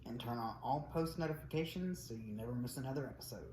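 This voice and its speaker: A man's voice: medium pitch, quick pace, darkish tone. A man co-hosting a casual podcast, reading out news figures in a blunt, matter-of-fact way. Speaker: podcast co-host. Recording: roomy echo with faint noise.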